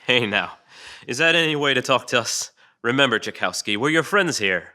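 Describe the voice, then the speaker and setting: A man plays a wry interrogator in an audio drama. His voice is extremely bright and cutting at a medium male pitch. He needles with dry, colloquial taunts and a mock-friendly air. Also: lively intonation, normal speed. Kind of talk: audio drama table read.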